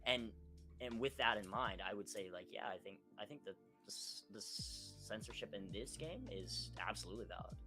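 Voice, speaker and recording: A man's voice: lowish voice, normal speed. A man co-hosting a gaming podcast, giving opinions in casual chat and restating his points as he goes. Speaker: podcast co-host discussing video games. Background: background music.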